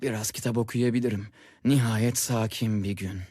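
A man playing a patient, calm teacher with a gently dry manner in an animated series. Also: on the low side, moderate pace, no echo, natural voice. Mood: relaxed. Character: adult male teacher and secret hero, patient, calm.

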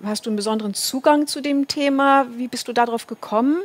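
Female talk-show host, middle-aged, slightly high register, conversational interviewer. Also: fast talker. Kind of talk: interview questioning. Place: indoor talk-show studio.

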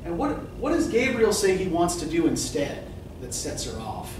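A man's voice: sits high, big pitch swings, moderate pace. A middle-aged man teaching literature to a class in conversational lecture style, often checking in with "right?" and asking students questions. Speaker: literature professor. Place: classroom with whiteboard. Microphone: far camera at the back of the room. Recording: echoey classroom from far off, noisy.

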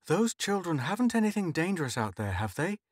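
A man voicing a nervous, anxious middle-aged housewife, shrill and pleading. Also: very animated, brisk, breathy edge, dry recording, studio quality. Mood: uneasy.

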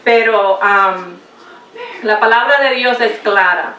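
Woman preacher in her forties, slow and halting, caught by a far camera in a roomy space. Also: mid-range voice, pushed and bright, slight background noise. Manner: halting, searches for words, slips into English. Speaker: pastor's wife preaching. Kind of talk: Good Friday sermon. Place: small home room set up as a chapel, indoors. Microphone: far camera, no visible mic. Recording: echoey room from a far camera.

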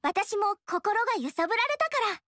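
A woman's voice: extremely high pitch, very animated, normal speed, no room sound, heavily acted. An actress voicing a cheerful, friendly young female monk in a game. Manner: bright and friendly. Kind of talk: game dialogue. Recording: dry studio voice.

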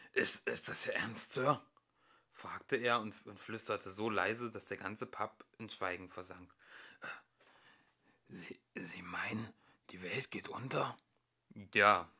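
A man narrating an amateur home-recorded audiobook in an expressive, lively way, with the odd small slip. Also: on the low side. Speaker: audiobook reader.

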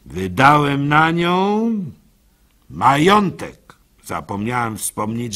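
A man performing a first-person literary monologue for radio as narrator, in an expressive, folksy, conversational manner. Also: on the low side, breathy edge. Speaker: narrator and storyteller.

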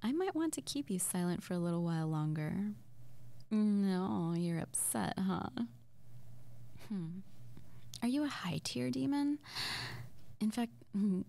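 A woman playing an excitable, playful young witch in an intimate ASMR audio roleplay, speaking softly and breathily, often near a whisper, in a halting murmur. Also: medium pitch, creaky. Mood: curious, teasing. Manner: halting, giggly, murmuring to the listener.

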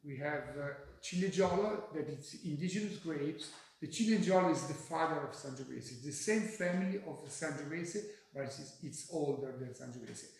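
Middle-aged Italian winemaker type, hesitant English, higher-set voice, heavy room echo. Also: male voice, animated, gravelly, a little hiss or hum. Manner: halting, searching for English words with many fillers. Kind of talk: interview answers. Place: bright kitchen-like tasting room, indoors. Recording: very echoey room.

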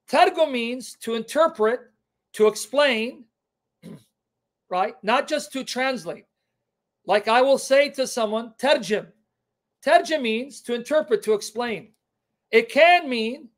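A middle-aged man whose first language is Syriac, teaching on a live stream from his home kitchen into a webcam, emphatic and repeating key terms. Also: extremely high pitch. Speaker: online apologetics teacher.

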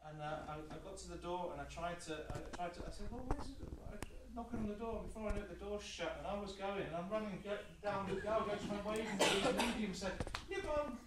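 A man's voice: high-pitched, fast, few pauses, very noisy. A middle-aged Scottish-born man telling a chatty, rambling story to an audience as a spiritual medium, with restarts and laughs. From Scotland. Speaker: spiritual medium giving a talk. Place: large hall with a painted mural, indoors. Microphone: distant audience camera phone. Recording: echoey hall from far off.

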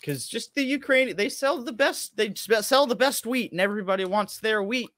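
A man's voice: high voice, expressive, moderate pace, a bit bright, no room sound. A man in his thirties co-hosting a casual show, reading aloud and dropping sarcastic, mocking asides. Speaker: show co-host. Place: small room at home, on a video call stream.

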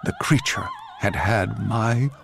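A man playing a bitter, envious male court composer, narrating in a measured, theatrical, sardonic way for radio drama. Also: medium pitch, huge pitch swings, creaky, fairly bright tone, soft background music, heavily acted. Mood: brooding, sardonic.

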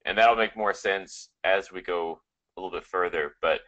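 A man explaining software settings step by step, casual and full of fillers. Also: low voice, moderate pace. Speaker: webinar co-host.

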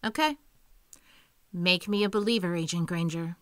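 A woman playing a stern, sharp-tempered intelligence section chief in an audio drama, commanding and bitingly sarcastic. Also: on the low side, moderate pace, dry recording, clean studio sound, lightly acted. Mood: curt.

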